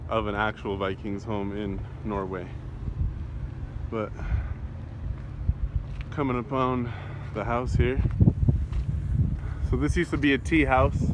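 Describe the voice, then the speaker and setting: A man's voice: mid-range voice, fairly even intonation, slow pace, long pauses. A man giving casual, offhand, rambling travel vlog narration as he films. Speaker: vlogger. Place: outdoors on and around a lake. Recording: outdoor camera audio, clear wind and water noise.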